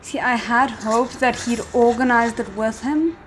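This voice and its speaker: A woman giving casual reaction commentary as a host, thinking aloud with expressive exclamations. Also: on the high side, measured pace, faint music behind, rough recording. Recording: noticeable background noise.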